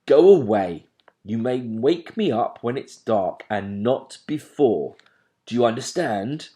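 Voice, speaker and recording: A man voicing a sleepy, grumpy father barn owl who talks in a gruff, blustering, imperious way. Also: lowish voice, lively intonation, slow pace, a little mellow.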